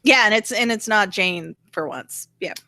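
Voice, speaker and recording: A woman's voice: medium pitch, animated, normal speed, sharp tone, dry recording. Female co-host, mid-range voice, sardonic casual political chat, dry recording. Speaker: show co-host.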